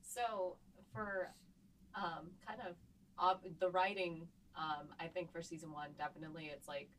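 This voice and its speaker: Lady with a lowish voice talking casually about her creative work, bit of background noise. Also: flat delivery, a little slow, rough recording. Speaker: writer and co-producer. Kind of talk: casual panel discussion.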